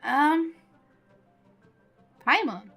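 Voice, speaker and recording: A woman's voice: high-pitched, expressive, extremely slow, creaky, sharp tone, faint music behind. A woman livestreamer chatting casually in a giggly, conversational way as she reacts to a game.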